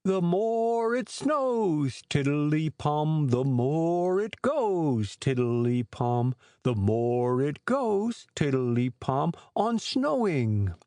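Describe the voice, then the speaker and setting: Slow, earnest storybook bear voiced by a woman. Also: low-pitched, sing-song, mellow, dry recording, studio quality, exaggerated voice. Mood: singsong humming. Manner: ponderous, earnest. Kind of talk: dramatized children's storybook reading.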